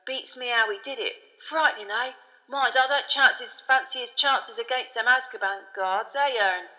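A chatty young bus conductor, played by a woman, full of colloquial gossip and easily spooked. The voice is bright and forceful, set in a long hall-like reverb. It is a storybook character who dramatizes every tale. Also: on the high side, moderate pace, pitched above the performer's own voice. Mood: dramatic. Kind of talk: audiobook character voice.

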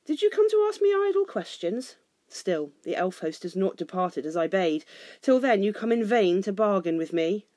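A woman's voice: on the low side, normal speed, no echo, natural voice. A woman voicing a proud, stubborn dwarf king from a storybook, imperious and scornful. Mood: haughty, dismissive. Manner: imperious, scornful, shouting in rage.

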